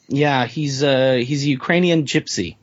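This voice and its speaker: A man talking casually as a player in a tabletop role-playing game, informal and jokey, thinking out loud as he describes a character. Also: mid-range voice, a little slow, breathy edge, highs cut off.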